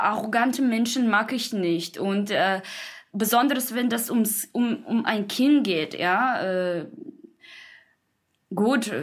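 A woman speaking German as a second language, giving a firm, indignant opinion in an interview with many hesitations. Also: mid-range voice. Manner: indignant, hesitant with fillers, non-native grammar.

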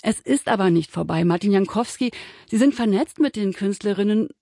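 Radio host, gravelly creaky voice, dry close sound, calm questions. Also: mid-range voice, brisk, no echo. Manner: composed questioning. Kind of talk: radio interview. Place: radio studio.

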